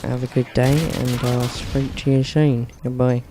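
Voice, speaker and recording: A man giving casual, monotone commentary over his own gameplay, with dry humour and many hesitations. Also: mid-range voice, unhurried, background noise. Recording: game gunfire in the background.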